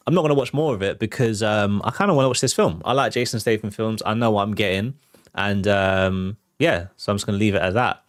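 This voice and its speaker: Low-voiced British man, casual commentary. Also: no echo, clean studio sound. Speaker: host of a movie trailer reaction show. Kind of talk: casual trailer reaction commentary.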